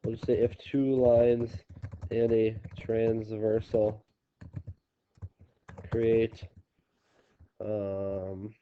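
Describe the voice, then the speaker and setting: A man's voice: fairly low pitch, flat delivery, extremely slow, long pauses, slight background noise. A man with a stuffy, congested nose, teaching a geometry lesson on video and explaining step by step with frequent ums and restarts. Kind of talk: recorded classroom video lesson. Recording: slightly dull lo-fi recording.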